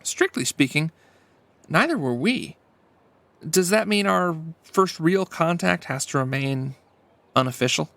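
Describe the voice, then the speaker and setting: A man playing a courteous, earnest starship captain in an audio drama, polite and a little halting. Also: a little high, lively intonation, gravelly, fairly bright tone, no room sound, exaggerated voice. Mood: wistful.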